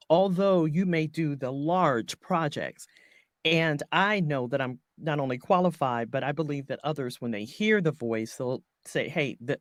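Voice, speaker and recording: A woman voiceover artist talking through her business in an interview, halting often and correcting herself mid-sentence. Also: low-pitched, no echo, clean studio sound. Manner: halting, many restarts and self-corrections. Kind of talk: conversational business coaching interview.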